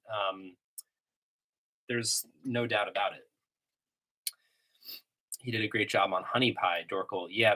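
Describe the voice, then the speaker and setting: A low-voiced man with a bit of a cold runs a relaxed livestream and answers chat questions as they come in. He talks in a rambling way with plenty of ums. The sound comes through a desktop computer mic, with guitar playing in the background. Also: rough recording. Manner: relaxed, rambling, many "um"s and restarts. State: has a cold, a little sniffly. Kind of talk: casual livestream Q&A. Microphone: iMac desktop microphone. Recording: home desktop computer recording. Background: guitar playing between answers.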